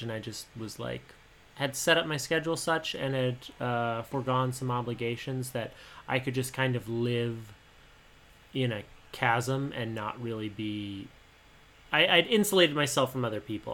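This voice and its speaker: A man in his twenties with a fairly high, boyish voice, co-hosting a casual podcast and talking candidly in a halting, soft-spoken way. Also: slight background noise. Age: 20s. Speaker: podcast co-host.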